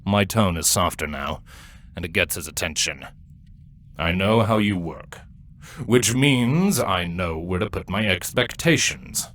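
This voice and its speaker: A man's voice: low-pitched, a bit bright, a little hiss or hum. Man voicing a smug, sardonic older-brother insect creature. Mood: stern, softer. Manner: sardonic, dry, taunting. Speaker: first-person narrator and character. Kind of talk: dramatic first-person story narration.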